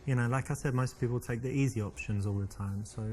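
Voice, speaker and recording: A man's voice: medium pitch, fast talker, a little mellow, lightly acted. An actor with a southern English accent playing a chatty, easygoing man flirting on a date in a dating game. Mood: flirty, admiring. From London, England. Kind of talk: acted dating-game dialogue.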